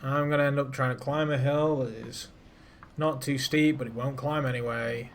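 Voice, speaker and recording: A man's voice: mid-range voice, fairly even intonation, normal speed, slight background noise. A man giving casual, self-deprecating live commentary to his viewers while playing a space game. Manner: chats to viewers while piloting. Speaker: streamer.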